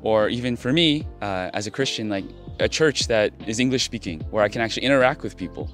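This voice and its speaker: A man's voice: fairly low pitch, lively intonation, fast, fairly bright tone, soft background music. A young man from San Francisco, an English teacher answering a street interview outdoors in an earnest, reflective way. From San Francisco, California. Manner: earnest, reflective, drops Korean phrases. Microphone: handheld mic held by interviewer.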